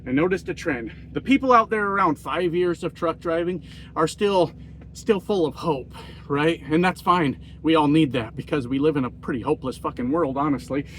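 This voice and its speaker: A man's voice: a little high, moderate pace, a bit bright, a little hiss or hum. A man with a nasal voice, a truck driver ranting in a casual, profane and self-mocking vlog monologue. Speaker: trucker vlogger.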